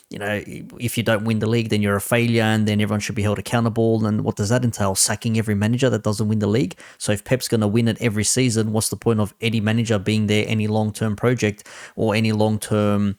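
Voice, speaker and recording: Low-voiced man, dry close sound, blunt. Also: a little mellow, no echo. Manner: blunt, sweary, conversational. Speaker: host reacting to a football debate stream. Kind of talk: casual opinionated commentary.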